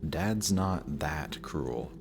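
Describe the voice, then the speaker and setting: Man playing very deep-voiced, teasing young death god. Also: animated, drawn-out words, creaky, noisy background, full character voice. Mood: smug, teasing. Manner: casual, teasing, speaks directly to listener. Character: young death god's son, teasing, smug, lovestruck. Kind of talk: scripted romantic audio roleplay.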